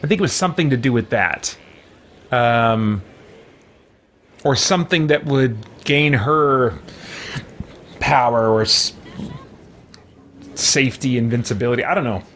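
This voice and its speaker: A man's voice: mid-range voice, unhurried, long pauses, a bit bright, slight background noise. A man in his thirties giving casual gameplay commentary, thinking aloud as he plays. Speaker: gaming video host. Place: book-lined room at home.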